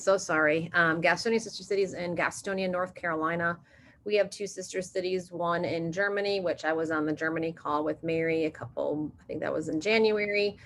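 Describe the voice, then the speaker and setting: A woman's voice: lowish voice, fairly bright tone, slight background noise. A woman who directs a city's sister cities program, introducing herself chattily on a video call and correcting herself as she goes.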